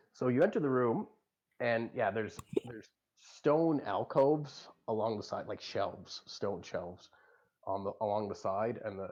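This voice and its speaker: Man, game master, low voice, halting explanatory narration, tabletop game, muffled lo-fi audio. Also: a little mellow.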